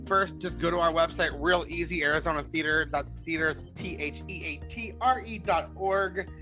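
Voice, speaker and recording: A man's voice: on the high side, moderate pace, pushed and bright. A man presenting a promotional announcement as a friendly step-by-step walkthrough, partly scripted. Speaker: announcer, theatre community engagement manager. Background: music.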